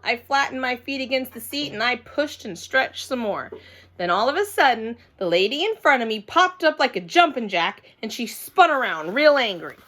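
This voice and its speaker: A woman's voice: on the high side, very animated, moderate pace, a bit bright. A woman reading scripted children's narration in a childlike, emphatic way with dramatic phrasing. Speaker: storybook reader.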